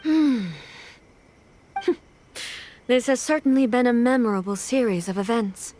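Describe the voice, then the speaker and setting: An actress playing a stern, dignified female deity in a video game, speaking in a formal, grave, measured way. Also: a little high, lively intonation, mellow, a little hiss or hum. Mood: mildly amused. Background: music.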